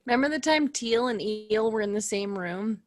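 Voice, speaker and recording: A woman's voice: mid-range voice, expressive, normal speed. A woman chatting casually as a podcast co-host, with a playful, giggly way of talking. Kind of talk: casual chat.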